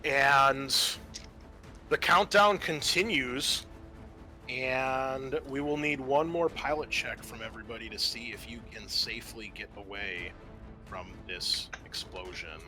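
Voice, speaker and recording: A man's voice: medium pitch, slow pace, over music. A man hosting as game master, narrating a tabletop roleplaying game in a casual, often profane manner.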